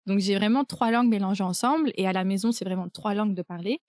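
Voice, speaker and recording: A woman's voice: mid-range voice, quick pace, darkish tone, no echo, studio quality. Young French woman hosting, full of fillers. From France. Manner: hesitant, frequent fillers. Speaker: podcast host. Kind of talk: casual conversation.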